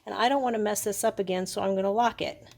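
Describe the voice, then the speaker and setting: A woman's voice: medium pitch, fairly even intonation, fast, darkish tone. A woman giving a casual, hands-on product review, explaining things in a hesitant, unscripted way. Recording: clearly noisy background.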